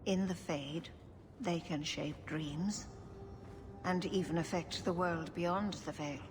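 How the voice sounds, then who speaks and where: An actress playing a wise, grave elven keeper in a video game, formal and measured. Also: female voice, fairly low pitch, fairly even intonation. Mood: grave, instructive. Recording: noisy game ambience behind.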